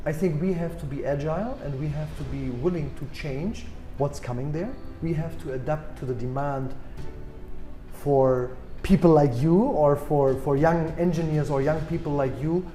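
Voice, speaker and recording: A man in his forties with a German accent, answering interview questions thoughtfully with many pauses and restarts. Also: fairly high pitch, dark tone, roomy sound, soft background music. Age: 40s. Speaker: guest executive.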